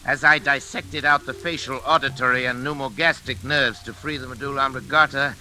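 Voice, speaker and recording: An actor playing an anguished middle-aged scientist father, obsessive and guilt-ridden, in halting, intense 1940s radio drama style. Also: medium pitch, steady tone, bright, forceful tone, a little hiss or hum, rough recording. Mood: haunted, driven. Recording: old radio recording with music behind.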